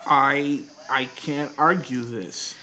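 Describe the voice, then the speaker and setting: A man's voice: mid-range voice, fairly even intonation, slow, bright, forceful tone, muffled recording. A man chatting casually about card games on an online group voice call. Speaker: podcast participant.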